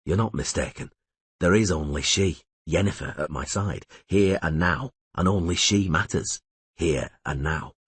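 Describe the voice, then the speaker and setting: Man doing a low, husky witcher. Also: a little slow, no echo, heavily acted. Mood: brooding. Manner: low, dry, deadpan. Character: gruff male witcher, wry and devoted. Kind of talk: audiobook reading.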